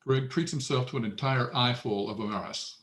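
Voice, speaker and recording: A man's voice: mid-range voice, brisk, a bit bright. A man narrating stage directions matter-of-factly at a screenplay table read.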